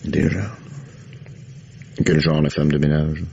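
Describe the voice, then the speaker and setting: An actor playing a dry, ironic police commissioner in a 1950s radio drama. He asks his questions in a teasing, unhurried way. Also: a bass voice, flat delivery, creaky, mellow, husky, exaggerated voice. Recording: dull old radio drama recording.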